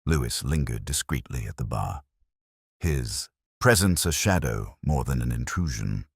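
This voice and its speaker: A man with an extremely low, husky, gravelly voice narrates a detective story in the first person. His delivery is measured, literary and melancholy, with lively movement in pitch. The sound is dry and close. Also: no room sound.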